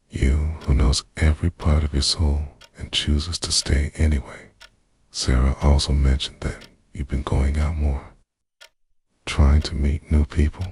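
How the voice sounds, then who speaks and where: Possessive yandere boyfriend played by a man for ASMR roleplay: extremely deep, soft, manipulative and insistent, closely miked and dry. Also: dry recording, exaggerated voice. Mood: quiet, intense. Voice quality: soft, low, breathy at times. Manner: intimate, insistent, pleading and accusing. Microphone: close microphone, dry sound.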